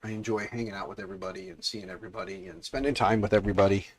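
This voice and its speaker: A man hosting a casual livestream, congested and raspier than usual, rambling and repeating himself as he talks to his chat. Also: fairly low pitch, racing. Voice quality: raspy, congested. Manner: mild swearing, offhand. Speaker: livestream host.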